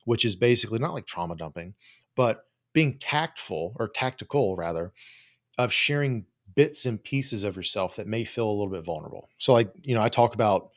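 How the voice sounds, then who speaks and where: A man's voice: lowish voice, lively intonation, fairly quick. A man giving informal solo advice as a coach, talking in a blunt, no-nonsense way with plenty of casual swearing. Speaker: host, psychologist and business coach for creators.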